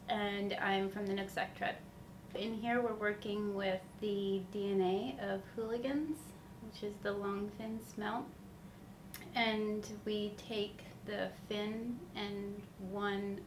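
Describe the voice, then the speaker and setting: A woman from Washington State, a student researcher, describing her lab work in a casual, somewhat halting way. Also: medium pitch. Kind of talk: casual interview. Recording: echoey room, noisy.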